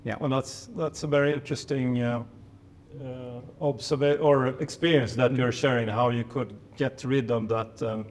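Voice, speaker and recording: Moderator: man, mid-pitched, hesitant and searching, faint room hum behind. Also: fairly even intonation, normal speed. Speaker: panel moderator. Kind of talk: moderating a panel discussion. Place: reception room at a residence.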